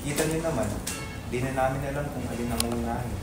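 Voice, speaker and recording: A man's voice: medium pitch, quick pace, music in the background, natural voice. A man playing a harried, stubbornly honest website writer in a dramatic reading, plain-spoken and polite but resistant. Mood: flustered, polite. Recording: very echoey, distant, heavy noise.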